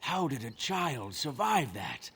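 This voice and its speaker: A man's voice: mid-range voice, big pitch swings, slow, sharp tone, breathy edge, exaggerated voice. An actor playing an earnest, awed peasant man in a fantasy video game. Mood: awed, tender. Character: earnest peasant father finding a child.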